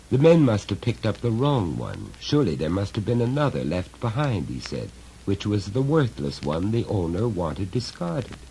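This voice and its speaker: A man reading a horror short story as scripted narration, in a measured, deliberate and dramatic storytelling manner. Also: low-pitched, a little mellow, a little hiss or hum, rough recording. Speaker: story reader.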